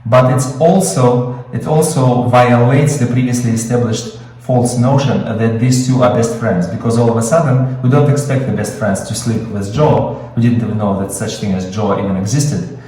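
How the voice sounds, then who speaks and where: A man's voice: mid-range voice, moderate pace, hardly any pauses, mellow, heavy reverb, distant mic, poor audio. A man with a Russian accent, Russian his first language, teaching screenwriting in an improvised webinar, explaining with many fillers and restarts. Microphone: video call.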